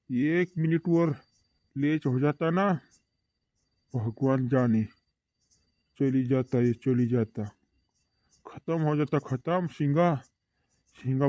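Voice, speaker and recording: A man's voice: medium pitch, warm tone, no room sound, lightly acted. A man playing a bossy, urgent village doctor in an amateur cartoon comedy, repeating short phrases insistently.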